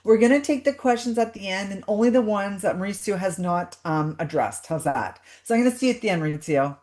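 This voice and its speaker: A woman's voice: medium pitch, lively intonation, fairly quick, darkish tone. A woman hosting a live webinar over a video call, chatty and welcoming as she greets everyone. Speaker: webinar host.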